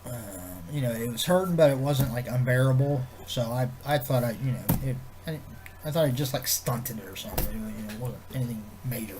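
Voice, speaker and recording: A man with a head cold, sounding congested and nasal, chatting to camera in a low-key, meandering way with loose swearing. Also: fairly quick, music in the background, poor audio. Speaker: vlogger. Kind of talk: rambling casual chat to camera.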